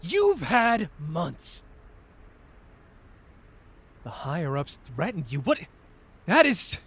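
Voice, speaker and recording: A man's voice: fairly high pitch, huge pitch swings, extremely slow, a bit bright, slightly rough, heavily acted. A man playing a fierce, protective young sorcerer who feels betrayed and is in love, in an emotional, intimate anime roleplay addressed to the listener. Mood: hurt, angry. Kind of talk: dramatic ASMR character roleplay.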